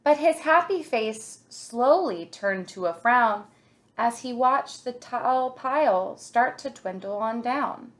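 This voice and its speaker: A woman reading a children's picture book aloud in an expressive, deliberate storytelling way, like a kindergarten teacher. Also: fairly high pitch.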